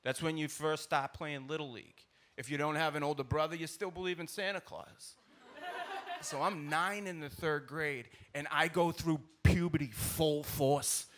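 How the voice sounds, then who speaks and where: Male stand-up, thick-of-Boston storyteller: bright, punchy, somewhat high voice, colloquial and exclamatory, live stage recording. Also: fairly even intonation, normal speed. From South Boston, United States. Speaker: stand-up comedian. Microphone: stage microphone at a live show. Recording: live stage recording with audible background noise.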